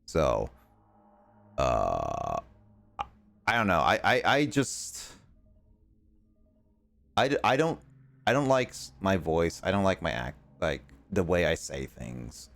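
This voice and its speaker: A young American man streaming games, talking casually in halting, rambling bursts full of fillers and restarts. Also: a bass voice, sing-song, vocal fry, a bit bright. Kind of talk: casual chat while gaming.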